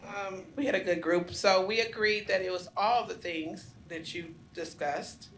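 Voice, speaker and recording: A woman at a community meeting calmly summing up what her group discussed. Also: mid-range voice, unhurried, a little hiss or hum, low-quality recording. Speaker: meeting participant. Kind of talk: spontaneous group report. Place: large meeting room.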